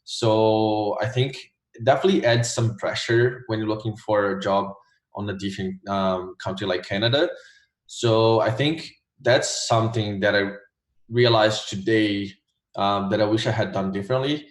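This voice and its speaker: Low-voiced young Brazilian man, echoey room. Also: moderate pace. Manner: relaxed, hesitant, many fillers. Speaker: guest, former test taker. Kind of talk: casual interview answers.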